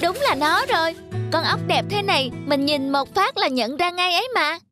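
A woman voicing a young child in a gentle moral cartoon, speaking simply and earnestly. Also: very high-pitched, expressive, normal speed, music in the background. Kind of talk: Vietnamese children's cartoon dubbing.

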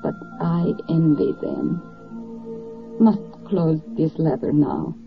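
A woman playing a grieving mother reading out a letter in a 1940s radio drama, mournful and measured. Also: lowish voice, huge pitch swings, creaky, over music, full character voice. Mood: anguished, resigned. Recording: muffled old 1940s radio recording.

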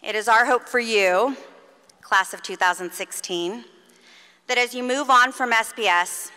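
A woman's voice: on the high side, pushed and bright, clean tone. A middle-aged woman giving an earnest, encouraging commencement speech at a lectern microphone. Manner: earnest, encouraging, measured address to graduates. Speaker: faculty commencement speaker. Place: large concert hall stage at a lectern. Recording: long hall reverb.

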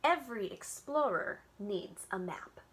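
A woman reading as a gentle storybook father. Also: medium pitch, expressive, measured pace, natural voice. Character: kind, patient father reading with his daughter.

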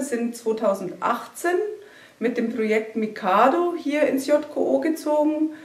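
A woman giving a measured, formal presentation of a social organization to camera. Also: on the high side, darkish tone. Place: room in front of a banner. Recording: echoey room, mic at a distance.

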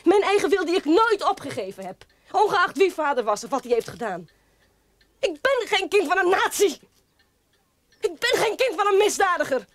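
A woman's voice: extremely high pitch, sing-song, moderate pace, fairly bright tone, no echo, full character voice. An actress in a radio play, playing a defiant married woman who insists she is her own person. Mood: vehement denial.

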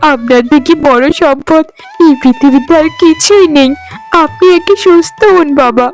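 A woman voicing a gentle, devoted daughter-in-law with a respectful, pleading manner in a cartoon melodrama. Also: high-pitched, a little slow, low-quality recording. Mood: worried, pleading. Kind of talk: melodramatic cartoon story acting. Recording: music under the voice.

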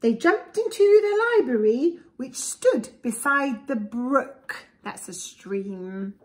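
A woman in her forties with an English accent, reading a rhyming picture book to young children in an expressive, deliberate way, with simple asides. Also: sits high. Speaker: preschool storyteller. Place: small indoor room with bookshelves. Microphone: camera a short distance away.

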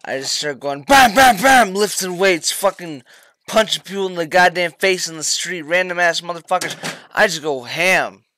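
Teen male gamer, slightly high voice, rambling joker on headset. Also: expressive, moderate pace, sharp tone, no echo. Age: teens. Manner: rambling, crude, joking. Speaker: gamer on voice chat. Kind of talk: casual chat while gaming. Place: small room indoors.

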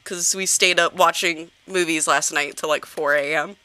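A woman's voice: low voice, fairly even intonation, normal speed, bright, forceful tone, no room sound. A woman streaming a game, chatting casually with friends and laughing often between lines. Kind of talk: casual gaming chat. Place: home streaming setup.

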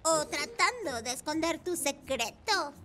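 A goofy, excitable little cartoon boy bike. The voice is extremely high and swings about, often drawing words out, with music clearly behind. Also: unhurried, full character voice. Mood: teasing. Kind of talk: animated children's dub.

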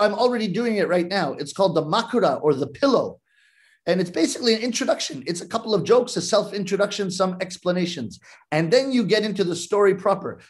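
A man in his forties from Canada performs comic stories on a video call from home. He enunciates crisply and theatrically, joking with his audience. His voice sits noticeably high for a man. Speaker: rakugo storyteller. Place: living room at home, indoors. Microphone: webcam on a video call.

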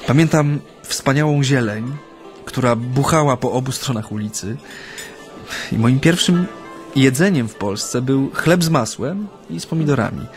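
A man's voice: mid-range voice, unhurried, natural voice. An actor playing a genial Japanese man reminiscing hesitantly and reflectively about his life, in a conversational radio drama. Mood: nostalgic, reflective. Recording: studio radio play with music.

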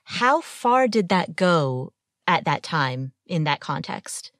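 Woman, from Ohio, podcast host, expressive pitch, dry close sound. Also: slow, dry recording. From Ohio, USA. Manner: lively, expressive questioning. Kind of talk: scripted intro and interview questions.

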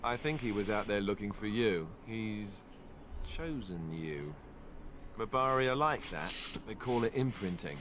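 Easygoing young warrior, lowish voice, conversational, fantasy game, faint ambient noise. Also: male voice, expressive, a little slow, a bit bright. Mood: gentle, informative. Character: easygoing young warrior companion, explaining gently.

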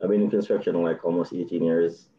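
A man in his thirties with a Jamaican accent, chatting on a video call as a dating show contestant, soft-spoken with nervous laughs. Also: deep voice, fairly even intonation, fast talker, roomy sound, lo-fi sound. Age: 30s. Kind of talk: casual video call chat.

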